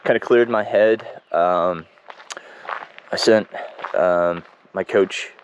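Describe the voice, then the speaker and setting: A man speaking in a casual running video diary, congested and froggy, reflective and halting with many ums and restarts. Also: sits low, a little hiss or hum. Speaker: running vlogger. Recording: dull lo-fi home recording.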